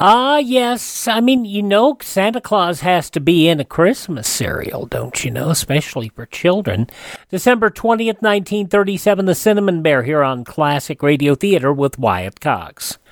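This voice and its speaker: An American man hosts a radio program in a casual, partly scripted way. His voice sits fairly high and the sound is close and dry. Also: very animated, normal speed, dry recording. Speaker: radio show host.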